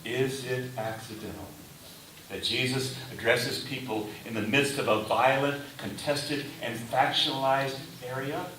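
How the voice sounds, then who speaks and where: Slow preacher in an echoey room. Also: male voice, medium pitch, steady tone, fairly bright tone. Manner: deliberate, rhetorical questions and emphatic short phrases. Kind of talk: sermon. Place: reverberant indoor room before a congregation. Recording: echoey room, distant mic, faint hiss.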